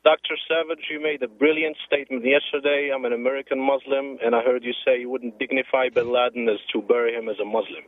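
A man from Morocco calling in to a radio show, earnest and a little halting. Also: mid-range voice, fairly even intonation, dry recording. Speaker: caller. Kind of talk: call-in radio conversation. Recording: tinny phone line on radio.

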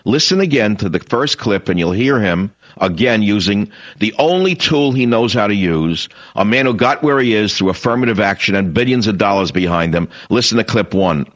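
A man's voice: lowish voice, expressive, normal speed, hardly any pauses, dry recording. Emphatic older male talk radio host. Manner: emphatic, repeats himself, many restarts. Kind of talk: opinionated talk radio monologue. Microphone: studio microphone. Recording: dull, dry studio broadcast sound.

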